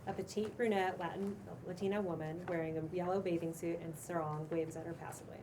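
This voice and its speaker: A woman's voice: fairly low pitch, fairly even intonation, quick pace, dark tone. A woman narrating the stage directions of a script at a cold table read. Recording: distant mic, clearly noisy room.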